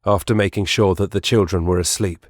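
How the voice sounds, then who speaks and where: A man's voice: sits low, fairly even intonation, a little fast, no room sound, clean studio sound. A man reading scripted storybook narration as the narrator of an audiobook.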